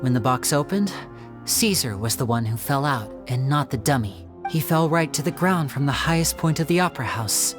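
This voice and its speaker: A woman playing a poised stage magician in a video game, speaking in a theatrical, measured, confiding way. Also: a bass voice, animated, music in the background. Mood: grave. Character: poised female stage magician explaining tricks and clues. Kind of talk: scripted video game voice acting.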